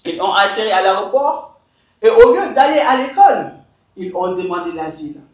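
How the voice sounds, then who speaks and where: A woman's voice: medium pitch, lively intonation, darkish tone. Emphatic woman in an echoey room. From Mali. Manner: emphatic, deliberate, switches into English. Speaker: education consultant. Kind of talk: scripted address to camera.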